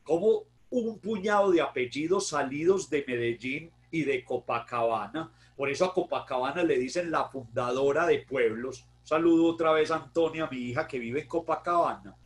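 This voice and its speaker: A man's voice: on the high side, normal speed, hardly any pauses. A man with a paisa accent from Antioquia giving a conversational history lecture online, often adding tag questions and correcting himself. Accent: Paisa Colombian Spanish. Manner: conversational, warm greetings, frequent tag questions, self-corrections. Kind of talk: virtual history talk to an online audience.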